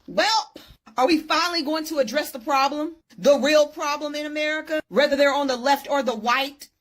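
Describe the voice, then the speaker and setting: Brief: high-pitched woman commentator, pointed and rhetorical, from a played video. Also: normal speed.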